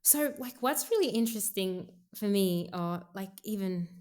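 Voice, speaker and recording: A woman's voice: mid-range voice, lively intonation, moderate pace. A young adult woman singer from Sri Lanka, raised in Australia, talking casually in an interview and thinking aloud with lots of filler words. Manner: soft-spoken, frequent "like" fillers, thinking aloud. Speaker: singer, guest.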